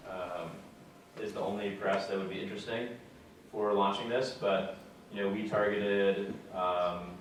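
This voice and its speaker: A young man giving a casual talk to a student audience, relaxed and plain-spoken with many fillers. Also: lowish voice, slight background noise. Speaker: guest speaker. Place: lecture room with audience. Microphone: far camera. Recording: echoey room from far off.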